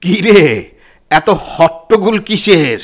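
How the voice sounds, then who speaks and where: An actor playing a grumbling, rustic old village uncle in an audio drama, speaking colloquially with a drawl. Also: male voice, sits high, sing-song, takes it slowly, full character voice. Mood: puzzled, grumbling. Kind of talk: Bengali audio drama acting.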